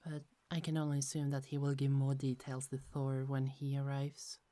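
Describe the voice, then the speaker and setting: A woman voicing a gentle young goddess who keeps golden apples, speaking in a soft, earnest way that hesitates a little. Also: extremely low pitch, monotone, moderate pace. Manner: soft, earnest and a bit hesitant, with small stumbles. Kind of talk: ASMR audio roleplay.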